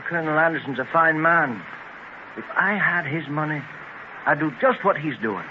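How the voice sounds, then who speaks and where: An earnest, ambitious lad played by a man in an old radio play. His voice sits fairly high and he argues his case with conviction. The muffled 1930s recording is thick with noise. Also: expressive, normal speed, lightly acted. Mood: earnest, confident. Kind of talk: 1930s radio drama acting.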